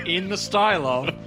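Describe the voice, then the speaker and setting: A man's voice: high-pitched, rough recording. A man chatting casually as a player in a tabletop roleplaying podcast. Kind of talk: casual chat at an actual play game.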